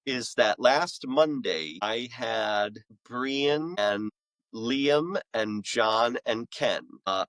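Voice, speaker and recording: A man's voice: fairly low pitch, a bit bright, no room sound, poor audio. A middle-aged man, a podcast guest and author, telling casual anecdotes in an enthusiastic, rambling way.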